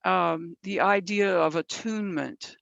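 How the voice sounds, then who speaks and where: A woman's voice: lowish voice, measured pace, gravelly, fairly bright tone, no room sound, muffled recording. A woman presenting a mentoring training session, explaining step by step and often checking in with "right?", her voice a little worn. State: losing her voice. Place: from San Bernardino County, California.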